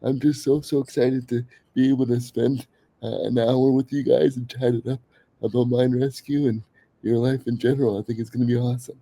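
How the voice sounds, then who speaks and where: A man hosts a casual podcast while slightly sick, his voice a little worn. His tone is very dark and mellow and his pitch stays quite level. He talks earnestly and eagerly, stumbling through restarts. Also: little pitch movement, brisk, no room sound, poor audio. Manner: earnest, enthusiastic, many fillers and restarts. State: a little sick, voice under the weather. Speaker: podcast host.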